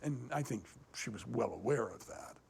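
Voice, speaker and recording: A man in a documentary interview, talking in halting bursts and repeating words, audibly moved. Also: mid-range voice, animated, gravelly, darkish tone, husky.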